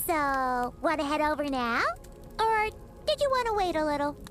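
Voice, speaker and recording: A woman voicing a tiny, excitable female companion creature in a video game, with a bubbly, squeaky manner. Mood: cheerful. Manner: bubbly, squeaky, quick and whiny.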